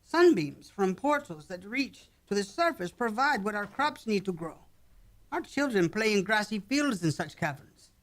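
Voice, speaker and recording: A calm and dignified alien villager , acted for a radio play, speaking in measured, formal phrases. The voice sits at a middling pitch and carries a stately, unhurried manner. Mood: earnest, measured. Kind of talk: radio drama acting.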